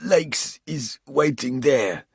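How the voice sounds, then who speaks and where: A man voicing a wounded, defiant South African male traitor, pushing his voice higher and spitting the words out. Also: lively intonation, takes it slowly, creaky, a little mellow, slightly rough, no echo, studio quality, exaggerated voice. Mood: desperate.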